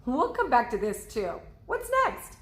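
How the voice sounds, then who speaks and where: A woman's voice: a little high, wildly swooping pitch, a little slow, pitched above the performer's own voice. A woman voicing a cheerful, encouraging and helpful young girl adventurer in a storybook reading. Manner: bright-spirited, encouraging. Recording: roomy, echoing indoor sound.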